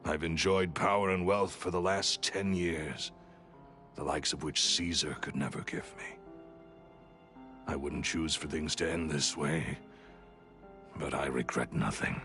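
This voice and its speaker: An actor playing a proud, cynical, cornered criminal in a video game, unrepentant, with a bitter, eloquent, theatrical delivery. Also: male voice, extremely low pitch, measured pace, long pauses, fairly bright tone, faint music behind, full character voice. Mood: bitter, resigned. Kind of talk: dramatic English video game voice acting.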